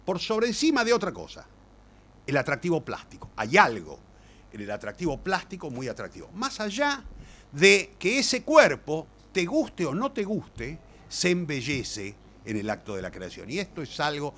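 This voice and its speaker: A man's voice: a little high, sing-song, moderate pace, fairly bright tone. An older man with an Argentine Rioplatense accent gives an informal lecture, speaking colloquially like a storyteller and repeating words for emphasis. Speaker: playwright and lecturer.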